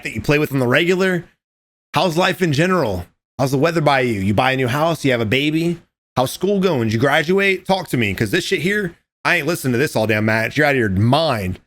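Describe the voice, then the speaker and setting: A man casually commenting over game footage, animated and profane, talking straight to his viewers, his voice going a little hoarse. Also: a little high. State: losing his voice. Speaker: gaming commentator.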